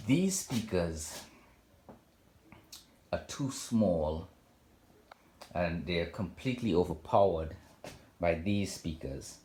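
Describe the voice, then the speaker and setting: A man with a deep, low-pitched voice talks casually as a home vlogger. His delivery is unscripted and halting, wandering through points with frequent ums and corrections as he goes. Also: dark tone. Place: home theater room indoors.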